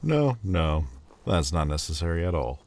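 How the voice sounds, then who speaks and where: A man's voice: sits low, very animated, normal speed, darkish tone. A man voicing a proud, prickly male Lord of Demons in a fantasy audio roleplay, haughty and sardonic with a grudging tenderness. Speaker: voice actor.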